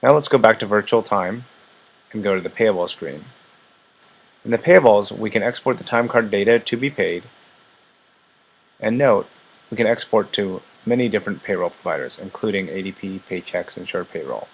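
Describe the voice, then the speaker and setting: Male software demo presenter, low register, husky tone, scripted step-by-step walkthrough delivery. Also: moderate pace. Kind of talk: scripted product demo narration.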